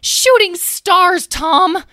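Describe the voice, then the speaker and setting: A woman's voice: mid-range voice, animated, normal speed, fairly bright tone, no echo, studio quality, lightly acted. A woman voicing a bold young storybook heroine who is exasperated, protective and forthright. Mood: exasperated, scolding. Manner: forthright, exclamatory. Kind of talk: children's audio story.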